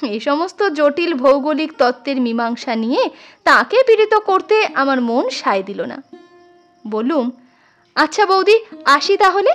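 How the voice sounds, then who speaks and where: A woman reads literary fiction as an expressive audiobook storyteller. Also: sits high, measured pace. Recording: music under the voice. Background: instrumental music.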